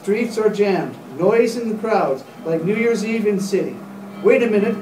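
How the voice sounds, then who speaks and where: A man's voice: sits high, animated, normal speed, darkish tone, a little hiss or hum. A man acting as a grave radio announcer in a live radio play, reporting solemnly and dramatically as an eyewitness. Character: grave radio announcer witnessing an invasion from a rooftop. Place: hotel meeting room, panel table. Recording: distant camera mic in echoey room.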